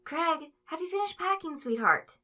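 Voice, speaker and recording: A woman's voice: very high voice, lively intonation, normal speed, sharp tone, soft background music, pitched above the performer's own voice, exaggerated voice. A woman voicing a kindly suburban mother, sweet and motherly, in a story reading. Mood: brisk.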